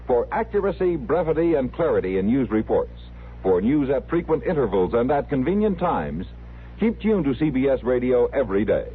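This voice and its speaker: A man working as a radio announcer, reading a formal scripted promo in a husky vintage broadcast style. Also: medium pitch, sing-song, moderate pace. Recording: muffled, narrow old radio recording.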